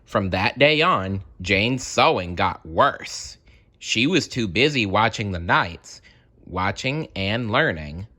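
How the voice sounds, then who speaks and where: A man reading a children's story aloud in an expressive, deliberate way, with casual, chatty asides. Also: on the low side. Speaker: storybook reader.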